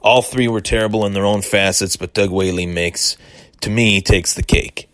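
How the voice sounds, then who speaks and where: A man with a hoarse voice hosting a solo sports podcast, talking in an aggrieved, defensive way with lots of restarts. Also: on the low side, steady tone, moderate pace, fairly bright tone, dry recording.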